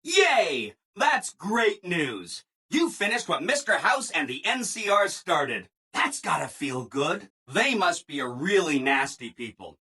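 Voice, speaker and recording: Eager-to-please game robot, chipper, gushing, bright forceful tone, swooping pitch. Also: male voice, big pitch swings, slow, few pauses, slightly rough, full character voice. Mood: chirpy, eager. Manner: chipper, exaggeratedly agreeable, gushing. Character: relentlessly cheerful, eager-to-please male robot assistant. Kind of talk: video game character dialogue.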